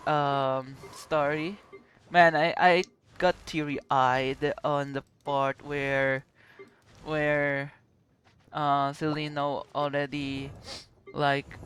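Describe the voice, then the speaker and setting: A Filipino game streamer and native Tagalog speaker giving casual live reactions, halting and switching between languages. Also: medium pitch, flat delivery, a bit bright, clear, resonant tone. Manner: code-switching, halting, trailing off. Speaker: game livestreamer. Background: game music.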